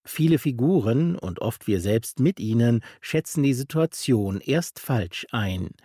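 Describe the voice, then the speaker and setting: A man delivering a scripted radio book review in a measured, articulate way. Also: medium pitch, animated, warm tone, slightly rough, no echo, studio quality. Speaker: literary critic reporter.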